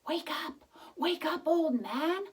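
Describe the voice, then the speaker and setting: A woman voicing an excitable, tender old wife in a children's storybook, exclaiming and cooing. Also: sits high, slow, recorded from a distance, voice pushed up. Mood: urgent, excited.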